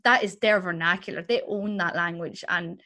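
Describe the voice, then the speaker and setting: A woman's voice: lowish voice, moderate pace, sharp tone. A woman in a casual conversation, chatty and loose, with lots of fillers. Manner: chatty, lots of "like" and "you know".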